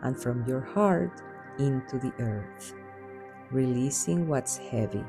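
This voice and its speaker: A woman leading a guided meditation in a soothing, unhurried manner with measured phrases. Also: rumbling low, expressive, music in the background. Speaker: guided meditation host and Reiki practitioner.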